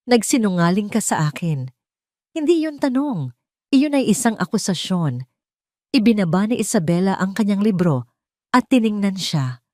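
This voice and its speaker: A woman's voice: lowish voice, a little mellow, dry recording, clean studio sound. A woman storyteller giving a dramatic, scripted narration of a story.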